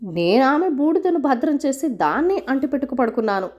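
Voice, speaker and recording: A woman's voice: high voice, sing-song, brisk, darkish tone, muffled recording, voice pushed up. A woman voicing an insistent young male suitor arguing his claim in a storybook tale.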